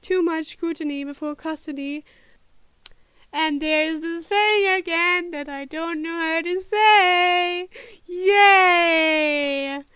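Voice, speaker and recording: A woman with a high voice and a command of works through a text aloud at an unhurried pace. She stops and restarts often, mutters to herself and tests how words are pronounced. The sound is close and dry. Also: bright, forceful tone, no echo. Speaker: podfic reader. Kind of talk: informal rehearsal of a read-aloud, checking pronunciations.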